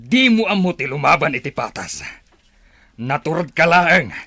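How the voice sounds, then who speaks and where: An actor playing a proud, defiant captive village leader in an Ilocano radio drama, with a raspy, challenging delivery and an Ilocano accent. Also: male voice, fairly high pitch, sing-song, a little slow, sharp tone, dry recording, heavily acted.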